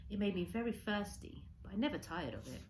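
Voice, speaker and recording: A woman reading a storybook aloud as a teacher would, expressively, with measured dramatic emphasis. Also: mid-range voice, big pitch swings, a little hiss or hum, low-quality recording.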